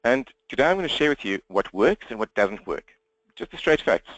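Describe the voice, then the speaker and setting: A man from South Africa with a South African accent and a slightly croaky throat, presenting an interactive webinar training while standing at his computer, fast, direct and upbeat. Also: mid-range voice, very animated, fairly bright tone, no echo, lo-fi sound.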